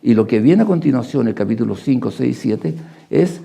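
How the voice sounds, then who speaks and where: Gravelly, very mellow man preaching. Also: medium pitch, animated. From Argentina, Paraguay and Chile. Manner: halting, reflective, pauses between short phrases. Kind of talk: sermon with Bible readings.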